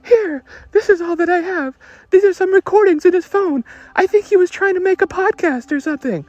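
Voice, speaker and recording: A man's voice: very high-pitched, a little fast, no room sound, lo-fi sound, pitched above the performer's own voice. A man voicing a distraught, pleading wife as a melodramatic client in a 1940s detective radio parody. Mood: earnest, helpless.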